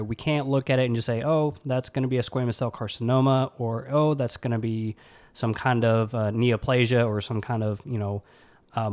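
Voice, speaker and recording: A man's voice: medium pitch, darkish tone. A man with an Alabama accent hosting his own podcast, talking earnestly in a rambling way full of fillers and restarts. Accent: Alabama Southern. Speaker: podcast host, pathology resident. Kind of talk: casual solo podcast monologue.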